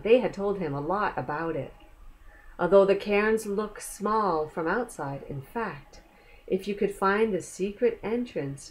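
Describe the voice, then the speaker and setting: A woman's voice: on the low side, low-quality recording. A woman reading a children's story aloud, expressively, with chatty asides and questions to the young listener. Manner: expressive, pausing to explain and ask the listener questions.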